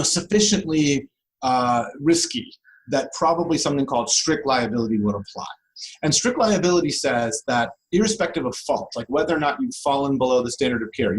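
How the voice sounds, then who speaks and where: A man's voice: mid-range voice, poor audio. Man, conversational academic explainer. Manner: discursive, explains step by step, checks in with listener. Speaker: guest law professor.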